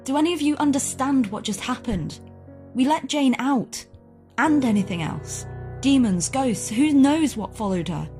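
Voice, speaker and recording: Blunt, insistent young woman played by an actress, high voice, fearful ritual believer, horror audio drama. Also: animated, unhurried, a little mellow, faint music behind. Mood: stern, accusing.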